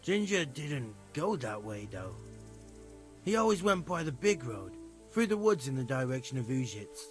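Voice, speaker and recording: A man's voice: mid-range voice, very animated, moderate pace, soft background music, close to an everyday voice. A man playing a hesitant, earnest medieval stablehand in video game dialogue, folksy and loyal. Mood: confiding, helpful. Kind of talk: conversational video game acting.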